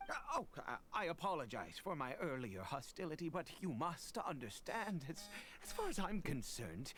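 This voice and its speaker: An actor playing a wary, bitter man cursed with immortality in a video game, theatrical and halting, sinking into breathy whispers. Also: fairly high pitch, sing-song, fairly bright tone, faint music behind. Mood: apologetic. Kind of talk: dramatic video game voice acting.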